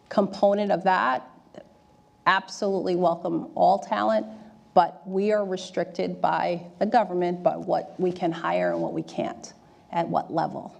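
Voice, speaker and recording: A woman's voice: on the low side, creaky, darkish tone. A middle-aged woman answering candidly and emphatically as a guest in an on-stage interview. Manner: candid, emphatic, often adding "right?". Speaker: interview guest, corporate diversity executive. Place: auditorium stage before an audience.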